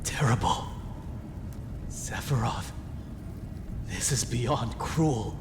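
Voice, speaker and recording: A man playing a shaken, grave young soldier in a video game, speaking slowly and heavily. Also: mid-range voice, in a large hall. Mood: horrified. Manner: very slow, heavy. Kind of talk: dramatic video game voice acting. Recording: game audio with noisy ambience.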